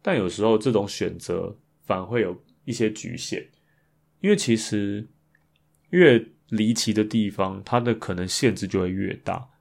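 Low-voiced Taiwanese man hosting a podcast. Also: normal speed, creaky, a little mellow. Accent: Taiwanese Mandarin. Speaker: podcast host. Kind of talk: casual reflective solo podcast talk.

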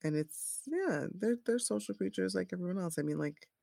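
A woman in her forties, a parent who works in scrubs, chatting casually in halting speech with many restarts. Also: mid-range voice, animated, creaky, dark tone, dry recording, studio quality. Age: 40s. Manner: halting, restarts often, says "like" and "you know". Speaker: healthcare worker and parent. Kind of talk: casual chat.

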